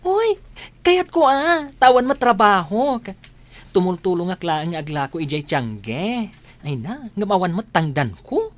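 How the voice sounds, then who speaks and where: A woman with an Ilocano accent playing a nosy, excitable village woman with drawn-out exclamations in a comedy radio drama. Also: mid-range voice. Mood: eager, curious.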